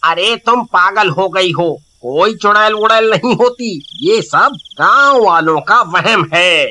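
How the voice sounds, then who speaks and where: A man voicing a bold, dismissive village husband in an animated horror story, scoffing and exasperated. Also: high voice, slow, a little hiss or hum, heavily acted.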